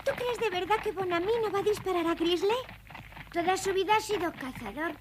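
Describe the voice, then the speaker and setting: A woman voicing an earnest, anxious young child in a dubbed cartoon, with a high childlike voice. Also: brisk, background noise. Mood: worried. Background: music.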